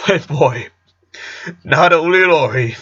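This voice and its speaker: A man plays a middle-aged cartoon dad with a bright, forceful voice. He tells nostalgic family stories with earnest fondness and a joking streak. The recording is slightly dull and lo-fi. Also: mid-range voice, expressive, a little slow. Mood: amused, animated. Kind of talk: cartoon fan fiction reading.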